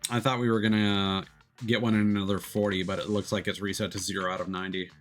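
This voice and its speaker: A man's voice: on the low side, little pitch movement, fast. A man streaming a video game, chatting casually and reading the game's text aloud, often stumbling over repeated filler words. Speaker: VTuber streamer. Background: faint game music.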